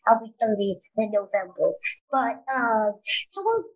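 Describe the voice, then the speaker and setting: Woman, late 20s, high breathy voice, curious interviewer. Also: very animated, unhurried, rough recording. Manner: curious, asks questions, agreeable. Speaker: host. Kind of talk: casual interview.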